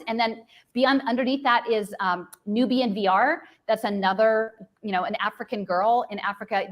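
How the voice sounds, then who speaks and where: A woman guest explaining her views in long, earnest run-on sentences, with frequent restarts and filler. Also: medium pitch. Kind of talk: casual explanatory talk.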